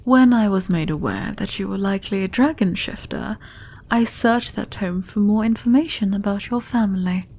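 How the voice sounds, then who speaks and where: Queen played by a woman, fantasy audio roleplay: regal, gracious yet shrewd, measured, soft-edged dark tone, medium pitch. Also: natural voice. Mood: wry, assured. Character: gracious, shrewd, plain-speaking dragon shifter queen and mother.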